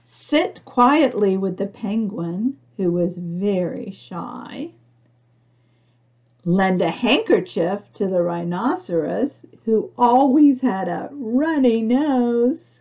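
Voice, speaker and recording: Slow-paced woman reading a picture book aloud. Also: medium pitch, expressive, warm tone, highs cut off. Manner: simple explanatory talk, often asking the listener questions. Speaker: storybook reader for young children. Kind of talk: read-aloud picture book with questions and asides to children.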